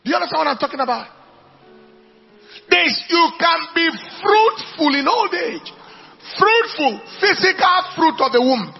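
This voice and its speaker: A Nigerian man delivers an animated sermon in a high-pitched voice, hammering phrases through repetition as he addresses a congregation. The recording is somewhat dull and lo-fi, with music playing behind him. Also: moderate pace, creaky. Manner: emphatic repetition, declaiming to congregation. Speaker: preacher.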